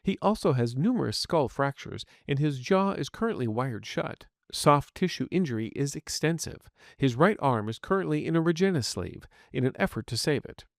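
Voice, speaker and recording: A man's voice: medium pitch, lively intonation, moderate pace, vocal fry, few pauses, dry recording, studio quality. A man voicing a polite, earnest artificial intelligence medical assistant in an audio story, speaking formally and courteously. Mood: clinical, explanatory. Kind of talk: scripted audiobook narration in character.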